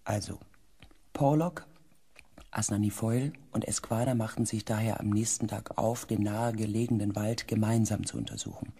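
An actor playing a grave expedition member who narrates in the first person, measured and sometimes hushed, in a sci-fi radio play. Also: male voice, fairly low pitch, expressive, gravelly, husky, full character voice. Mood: grave, eerie. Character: grave male expedition member recounting an eerie mission.

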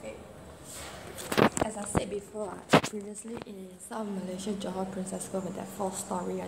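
A middle-aged Singaporean woman chatting casually for a home vlog in a halting, rambling way. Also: mid-range voice, creaky. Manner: halting, rambling, repeats okay. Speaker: home vlogger. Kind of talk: casual unscripted vlog chat. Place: bare-walled flat and semi-open balcony corridor. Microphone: handheld or propped phone or tablet, varying distance. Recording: noisy, echoey amateur home recording.

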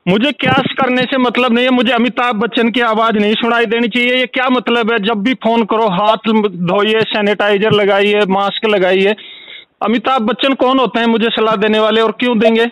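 Angry high-pitched man ranting. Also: level tone, normal speed, fairly bright tone, clear, resonant tone, dry recording. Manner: angry, insistent, ranting, repetitive. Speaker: complaining customer calling a helpline. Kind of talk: heated phone complaint. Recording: phone call recording.

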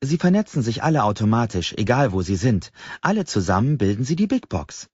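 A man's voice: medium pitch, big pitch swings, brisk, darkish tone, slightly rough. A man voicing a keen, confident young woman programmer in an audiobook dialogue. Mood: proud, explaining. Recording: slightly dull, roomy sound.

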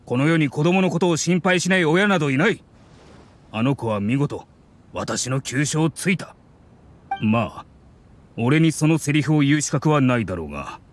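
An actor playing a stern, proud middle-aged scholar father, speaking in a stiff, measured, restrained way in a Japanese game dub. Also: fairly high pitch, expressive, natural voice. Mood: reflective.

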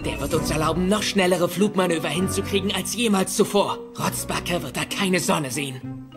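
An earnest teenage Viking boy with a wry streak. He speaks as in a cartoon audio play, with clear music underneath. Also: high-pitched, normal speed. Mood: eager. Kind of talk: cartoon audio drama dialogue.

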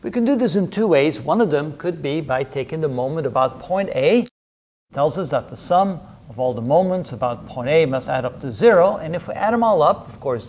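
A man's voice: on the high side, darkish tone, a little hiss or hum. A middle-aged man lecturing at a whiteboard, explaining a worked problem aloud in a methodical, step-by-step manner. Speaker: engineering lecturer. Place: indoors, in front of a whiteboard.

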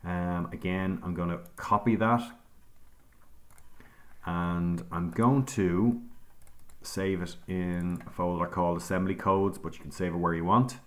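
A man teaching a software tutorial over a screen recording, explaining each step calmly with frequent ums. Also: sits low, measured pace. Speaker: instructor.

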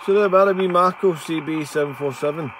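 A man's voice: on the high side, brisk. A man with a Scottish accent giving a casual commentary as a radio hobbyist demonstrating his set. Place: small indoor radio desk. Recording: loud radio static and hiss behind. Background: CB radio static and chatter.

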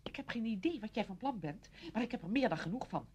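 An actress playing an impatient, exasperated woman visitor in a radio play, brisk, indignant and scolding. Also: fairly high pitch, lively intonation, a little mellow, slight background noise, natural voice. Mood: indignant, alarmed. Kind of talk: Dutch radio play acting.

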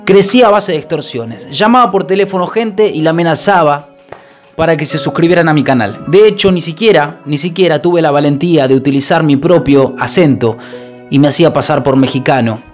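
A young man with a Rioplatense accent, a YouTuber talking in a halting, mock-solemn confession, holding a mic close in a small kitchen. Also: a little high, faint music behind. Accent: Rioplatense Spanish. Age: young adult. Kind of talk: mock-serious confession vlog. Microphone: handheld mic held close.

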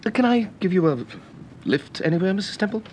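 An anxious, well-mannered English gentleman with a British accent. His voice sits fairly high and moves expressively as he speaks in formal, hesitant radio drama style. There is faint noise on the old recording. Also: animated, quick pace. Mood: polite. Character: polite, anxious English gentleman, earnest and apologetic.

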